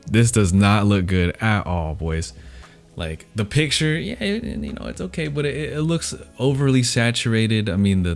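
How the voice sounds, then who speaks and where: A man's voice: fairly low pitch, darkish tone. A young American man chatting casually and telling stories to viewers in a laid-back, slangy, self-deprecating way. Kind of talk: casual rambling chat, storytelling.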